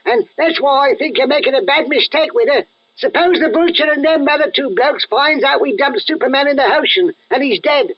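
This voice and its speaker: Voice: sits high, fairly quick. An actor playing a sly, nervous little underworld henchman with a Cockney accent and a whiny, wheedling manner in 1940s radio style. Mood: grumbling. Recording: muffled vintage radio recording.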